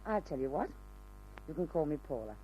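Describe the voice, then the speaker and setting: A man's voice: on the high side, sing-song, brisk, mellow, faint music behind. A performer playing a cool, self-possessed mystery woman in an old radio crime drama, teasing and in control. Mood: coolly teasing. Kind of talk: 1940s-50s radio drama acting. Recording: noisy old radio recording.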